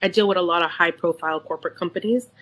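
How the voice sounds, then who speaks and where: Female co-host, middle-aged, slightly low voice. Candid, emphatic conversational delivery. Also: very fast, fairly bright tone. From San Francisco, United States. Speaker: podcast co-host. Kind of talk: casual conversation with some Spanish mixed in.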